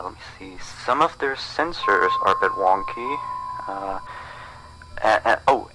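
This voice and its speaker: A man's voice: lowish voice, very noisy, close to an everyday voice. A man playing an awkward, nervously reassuring employee leaving a rambling, stammering phone message in a horror game. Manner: hesitant, stammering, rambling, downplaying. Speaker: night-shift phone guide in a horror game. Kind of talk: recorded phone message, acted as casual rambling. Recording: phone call over background music.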